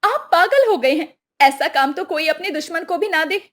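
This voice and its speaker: Woman acting a very shrill-high scolding village wife. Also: lively intonation, normal speed, a bit bright. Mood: worried. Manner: questioning, exclamatory. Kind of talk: Hindi cartoon moral story voice acting.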